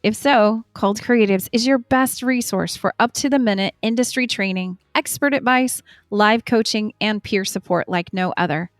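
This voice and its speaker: A woman's voice: mid-range voice, moderate pace, few pauses, no room sound, clean studio sound. A woman hosting a podcast, reading an ad and welcoming a guest in an upbeat, encouraging way. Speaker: podcast host. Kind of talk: scripted ad read and warm interview hosting.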